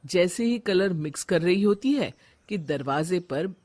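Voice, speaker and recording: Female narrator for scripted stories: unhurried pace, lively intonation. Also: on the low side, noisy background.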